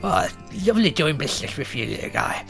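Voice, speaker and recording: A man's voice: a little high, big pitch swings, quick pace, vocal fry, fairly bright tone, faint music behind, heavily acted. A man voicing a blunt, slangy old crone who runs a shop. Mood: grumbling. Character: gruff old crone shopkeeper, blunt and grumbling.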